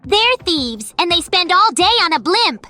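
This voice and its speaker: A quick-witted young female animal character, voiced by a woman in a comic dub. The voice is high, bright and direct, with music underneath. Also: big pitch swings, dry recording, heavily acted. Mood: persuasive.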